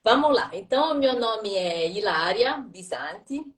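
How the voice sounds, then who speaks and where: A woman's voice: medium pitch, animated, measured pace, echoey room. A woman from southern Italy with an Italian accent, a language school director, explaining things in a friendly way as a guest on a live stream, with frequent hesitations. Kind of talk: casual live-stream conversation. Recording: voice a bit far from the mic.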